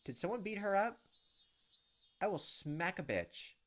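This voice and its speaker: A man's voice: high-pitched, very animated, a little slow, a little hiss or hum. A man reading visual novel narration aloud as a gameplay host, breaking in with blunt, informal reactions. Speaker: let's play host. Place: indoors at a desk, webcam gameplay. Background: game music, insect sounds.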